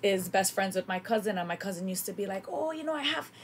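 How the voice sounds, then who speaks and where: A woman's voice: mid-range voice, lively intonation, fast, slight background noise. A young woman from Trinidad, a singer guesting in a radio studio, chatting and telling her story with acted-out quotes. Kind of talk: casual radio interview.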